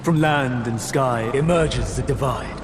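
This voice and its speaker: A man's voice: mid-range voice, measured pace, natural voice. A man playing a scheming, arrogant immortal suitor in a fantasy game, with formal, theatrical and courtly speech. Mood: imperious. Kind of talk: dramatic fantasy game acting. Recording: music behind the voice.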